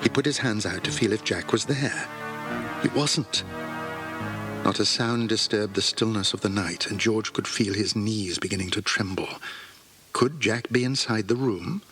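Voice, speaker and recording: A man narrating a children's adventure story in a scripted, suspenseful and deliberate way. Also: lowish voice, fairly bright tone. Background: music under the voice.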